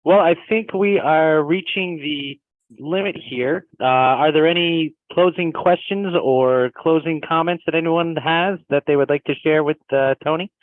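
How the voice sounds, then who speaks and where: A man's voice: fairly high pitch, a little slow, dry recording, narrow, tinny audio. A man informally moderating an online meeting as its host, heard through thin call audio.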